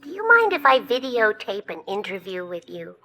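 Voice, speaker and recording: A woman's voice: medium pitch, very animated, moderate pace, sharp tone. An actress playing a puzzled, inquisitive woman reporter among aliens in a sci-fi radio comedy. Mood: puzzled, curious.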